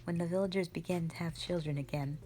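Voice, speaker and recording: A woman playing a strict, commanding mother who leads a warrior band, speaking sternly and deliberately in a roleplay audio drama addressed to the listener. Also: lowish voice, fairly even intonation, dark tone, soft background music, lightly acted, rough recording. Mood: insistent, stern. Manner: stern, deliberate, halting delivery with sighs.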